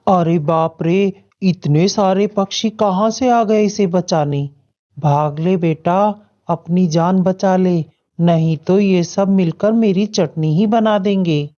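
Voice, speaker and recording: A woman's voice: lowish voice, slow pace, mellow. A woman voicing a hungry male eagle in a cartoon, a taunting, threatening bully. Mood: panicked. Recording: dull, muffled lo-fi audio.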